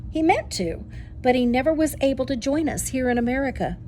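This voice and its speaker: A woman voicing a tender, nostalgic elderly great aunt in an expressive children's storybook reading. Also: high voice, fairly quick, a little hiss or hum, voice pushed up. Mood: wistful. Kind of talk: children's storybook read-aloud.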